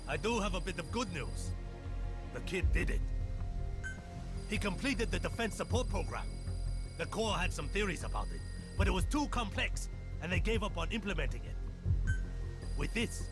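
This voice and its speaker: A folksy, easygoing engineer. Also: male voice, high-pitched, animated, moderate pace, long pauses. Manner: laid-back, folksy. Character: gruff, practical male hardware engineer. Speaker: game character, engineer. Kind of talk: video game cutscene acting. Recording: game cutscene with music behind. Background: music score.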